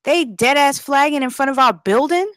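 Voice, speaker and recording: A woman's voice: high voice, normal speed, a bit bright, no echo, studio quality. Woman playing a tough, brash young gang girl. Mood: indignant. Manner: streetwise, slangy.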